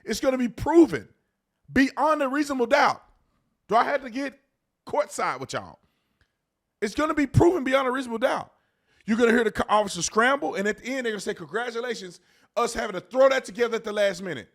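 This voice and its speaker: A man's voice: very high voice, expressive, brisk, a bit bright. An American man hosting an informal, emphatic commentary, repeating phrases to drive his points home. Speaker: host commentator, former police officer.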